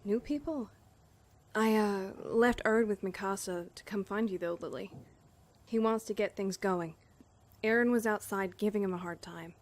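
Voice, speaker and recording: A woman plays an earnest young female technician in an audio drama. She is hesitant and a little meek, with a middling pitch. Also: moderate pace, close to an everyday voice. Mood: concerned.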